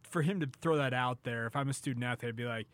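A man's voice: mid-range voice, fast talker, a bit bright, no echo. A man hosting a sports talk show in a casual, unscripted style.

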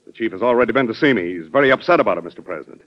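An actor playing a grave, anxious Secretary of War, respectful but insistent, in an old-time radio drama. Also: male voice, on the low side, animated, very fast, close to an everyday voice. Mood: worried, insistent. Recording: muffled old radio broadcast recording.

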